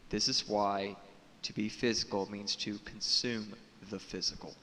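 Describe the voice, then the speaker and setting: A man giving an unscripted spiritual monologue to camera as a video blogger, speaking haltingly and solemnly in cryptic phrases, repeating words for emphasis. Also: fairly low pitch, level tone, bright, forceful tone. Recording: echoey reverberant room with audible hiss.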